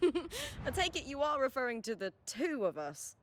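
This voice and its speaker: Lively, high-voiced young gladiator woman. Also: normal speed, a little hiss or hum. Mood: confident, explaining. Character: confident, spirited young woman gladiator, friendly battle partner. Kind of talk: video game voice acting.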